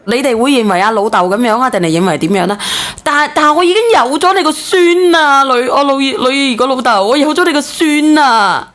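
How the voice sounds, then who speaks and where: Woman, Hong Kong accent, call-in host, quick delivery, raised pitch, blunt, slangy, pressing. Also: a bit bright. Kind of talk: casual call-in chat.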